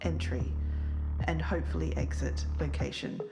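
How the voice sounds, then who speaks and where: A woman acting as a podcast investigator with a low voice. She lays things out deliberately and sceptically, in careful, measured narration. Soft music runs underneath. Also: sing-song, vocal fry, heavily acted, low-quality recording. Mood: measured, explanatory. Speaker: podcast co-host.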